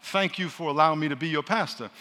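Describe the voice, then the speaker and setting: A pastor preaching a sermon, loud and joking, calling on his congregation to answer back. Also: a little high, quick pace. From New York. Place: large church hall. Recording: live sermon in a reverberant hall.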